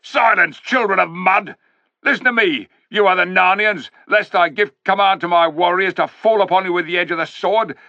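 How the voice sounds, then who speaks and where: Man voicing a pompous thundering warlord, high and forceful, storybook villain. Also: moderate pace, vocal fry, no echo, exaggerated voice. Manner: thundering, commanding. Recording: dull lo-fi recording.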